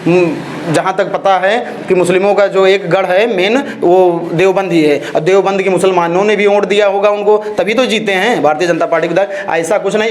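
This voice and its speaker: A man's voice: high-pitched, slight background noise. A man giving opinionated interview answers, emphatic and argumentative, repeating phrases and throwing out rhetorical questions. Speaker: interviewee, social activist. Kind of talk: opinionated street-interview talk.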